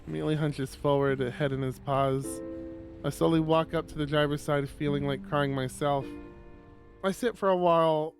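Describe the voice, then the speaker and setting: A man reading a visual novel aloud on a livestream as dramatic, expressive narration with drawn-out phrases. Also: on the high side.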